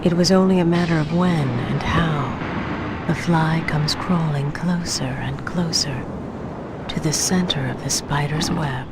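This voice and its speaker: A woman playing a cold, calculating mastermind in a video game cutscene, speaking in a measured, ominous way. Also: deep voice. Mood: ominous, menacing. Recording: very noisy game cutscene audio.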